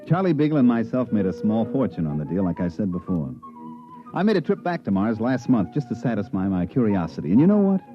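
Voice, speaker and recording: A man's voice: fairly low pitch, big pitch swings, fast. An American man playing a wry huckster who tells his own story colloquially in 1950s radio drama style. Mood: wry, satisfied. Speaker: narrator and lead actor. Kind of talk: 1950s American radio drama acting, first-person narration. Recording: muffled 1950s radio recording, music.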